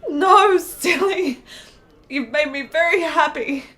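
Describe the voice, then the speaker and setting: A woman's voice: sits high, slow pace, sharp tone, exaggerated voice. An actress playing a frail, lonely, intense sick woman in a period radio play, with earnest, emotional, formal phrasing. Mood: overjoyed, tearful.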